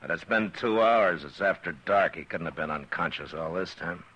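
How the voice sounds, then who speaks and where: An actor playing a weary, steady frontier marshal who talks in a calm, unhurried and dry way, in a vintage radio drama. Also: male voice, low voice, breathy edge, exaggerated voice. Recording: dull old radio broadcast, crowd behind.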